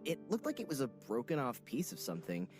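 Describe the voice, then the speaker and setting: A gossipy young soldier in a game who rambles on with great drama. His mid-pitched voice swoops widely, and game music plays behind him. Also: wildly swooping pitch, quick pace, darkish tone. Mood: dramatic. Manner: theatrical, rambling.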